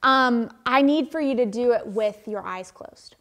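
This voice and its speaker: A young woman pastor giving a children's sermon in a church sanctuary, animated and speaking to children, picked up by a camera across the room. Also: on the high side, a little slow. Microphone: far camera.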